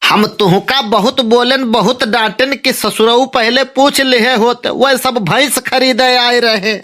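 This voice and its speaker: Brief: male actor as exasperated cartoon shopkeeper dad, Awadhi accent, high bright voice, slow complaining delivery. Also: steady tone, heavily acted. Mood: scolding. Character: scolding village shopkeeper father, exasperated with his son. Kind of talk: amateur comic cartoon dub in dialect.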